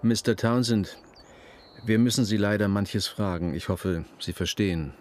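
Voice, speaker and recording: An actor playing a calm, experienced police detective in a radio crime drama, speaking politely, deliberately and with reassurance. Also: male voice, on the low side, heavy background noise, close to an everyday voice.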